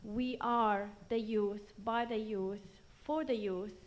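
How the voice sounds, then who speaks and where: Slow, earnest woman rallying a crowd. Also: medium pitch, fairly even intonation. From Jerusalem. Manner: earnest, rousing, speaks in short phrases. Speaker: rally speaker. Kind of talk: prepared rally speech.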